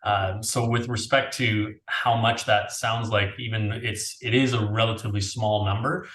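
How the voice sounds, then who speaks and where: A man's voice: lowish voice, fairly even intonation, normal speed, a bit bright. A Canadian man in his thirties giving casual, chatty explanations as an interview guest on a video call. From Niagara region, Canada. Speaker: winery owner being interviewed. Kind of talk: conversational interview answers. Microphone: video call, earphones. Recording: roomy, echoey video call audio.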